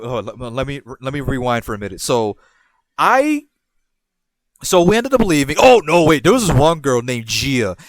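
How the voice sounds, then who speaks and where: A young man from Puerto Rico tells a long story in a loose, rambling way, stopping and restarting and slipping into quoted voices. His voice sits a bit high for a man. It is recorded close to the mic, with a dry sound. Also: no room sound. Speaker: podcast host. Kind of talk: casual storytelling.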